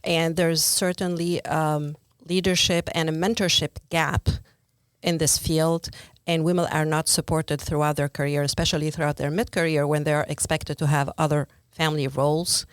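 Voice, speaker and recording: Deep-toned woman from Tunisia, measured and reflective, physician guest. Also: little pitch movement, clean tone, no echo. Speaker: pathologist, podcast guest. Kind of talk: thoughtful conversation.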